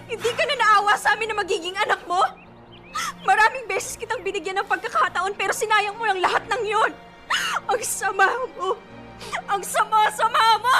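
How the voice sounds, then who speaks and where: A woman's voice: very high voice, moderate pace, music in the background. An actress playing a hot-tempered, betrayed young pregnant woman in a Filipino radio drama, with a Filipino accent and emotional, accusing delivery. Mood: furious, anguished.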